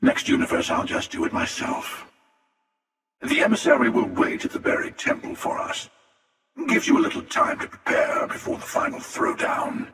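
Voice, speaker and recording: An actor playing a cynical, taunting, world-weary interdimensional hunter in a video game, with a gravelly, processed, otherworldly voice. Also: male voice, moderate pace, fairly bright tone. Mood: cool menace. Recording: cavernous echo, distant-sounding processed voice.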